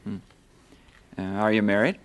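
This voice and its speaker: An actor playing a calm, probing addiction counselor in a radio drama. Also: male voice, lowish voice, normal speed, close to an everyday voice, rough recording.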